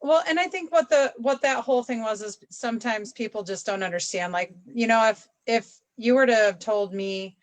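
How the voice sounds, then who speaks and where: A woman's voice: a little high, moderate pace, hardly any pauses. A woman co-hosting a video-call chat, talking candidly and rambling, trailing off and restarting her sentences. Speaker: co-host. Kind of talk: casual chat.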